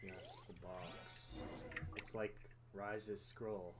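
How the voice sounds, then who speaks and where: Young woman streamer, really low voice, slow sardonic swearing commentary, noisy with keyboard clicks. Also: level tone. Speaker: game livestreamer. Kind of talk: casual reactive game commentary. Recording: loud noise, keyboard clatter.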